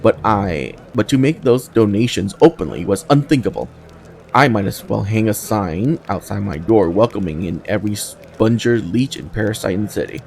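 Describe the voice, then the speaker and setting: A man's voice: fairly low pitch, animated, normal speed, vocal fry, a little mellow. A man voicing a haughty, wealthy merchant from a fantasy game, formal and pompous in his proud delivery. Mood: indignant. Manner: formal, pompous, fussy diction.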